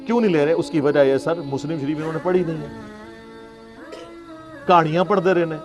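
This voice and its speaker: A man's voice: a little high, a little fast. A Pakistani man giving an informal religious lecture, arguing in a sarcastic, conversational way with rhetorical questions. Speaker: Islamic preacher and lecturer. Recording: music playing behind the voice. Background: music.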